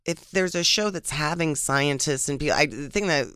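A man's voice: fairly high pitch, normal speed, bright, forceful tone, clear, resonant tone, dry recording, studio quality. A man on a comedy podcast earnestly arguing his point in casual chat.

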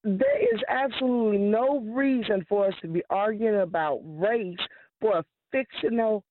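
A woman from Louisiana calling in to a radio show, talking quickly and agreeing emphatically. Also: medium pitch, big pitch swings, no echo. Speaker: caller. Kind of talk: call-in radio conversation. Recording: tinny phone line.

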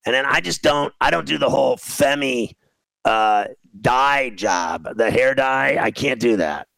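A man in his fifties with a gravelly, fry-laden voice, talking as a show host. He rambles in a casual, exasperated way, poking fun at himself with slangy griping. The sound is close and very dry. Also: mid-range voice, expressive, a little slow, fairly bright tone, no room sound, rough recording. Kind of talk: casual rambling comic rant.

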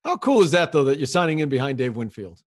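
A man hosting a podcast, eagerly firing quick questions back and forth with his guest. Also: fairly high pitch, sing-song. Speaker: podcast host. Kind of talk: podcast conversation.

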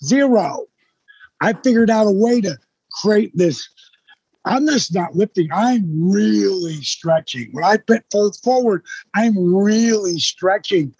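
A man in his sixties with a husky voice, a guest telling his story in a blunt, emphatic way with some swearing. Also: sits high, dry recording, lo-fi sound. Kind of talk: casual storytelling in an interview.

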